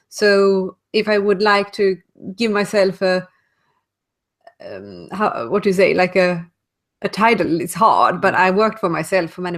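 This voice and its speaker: A woman with a Swedish accent speaks in a relaxed interview over a video call. She talks thoughtfully, sometimes stopping to search for the right English word. Her pitch sits in the middle range. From Sweden. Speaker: guest, speaker and author. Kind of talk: casual interview answers.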